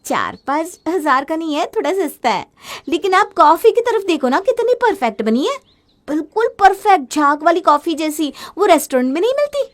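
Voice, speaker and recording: A woman's voice: extremely high pitch, very animated, normal speed, voice pushed up. A woman voicing a headstrong young Indian housewife in a family story, exclamatory, complaining and defensive. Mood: eager, proud. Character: headstrong gadget-obsessed young Indian housewife, easily fed up. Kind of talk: dramatized Hindi family story.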